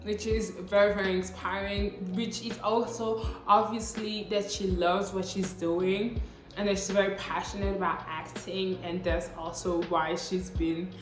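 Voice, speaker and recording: A woman's voice: medium pitch, little pitch movement, measured pace. A woman in her late teens, a non-native English speaker, chatting upbeat in a casual scripted vlog with slangy asides. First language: not English. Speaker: vlogger. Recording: echoey room with faint music.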